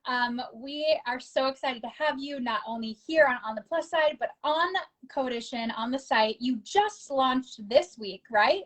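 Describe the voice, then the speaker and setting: A woman's voice: sits high, measured pace, few pauses, a bit bright. A woman hosting an interview over a video call, enthusiastic and effusive with her guest. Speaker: show host, interviewer. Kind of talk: casual interview chat.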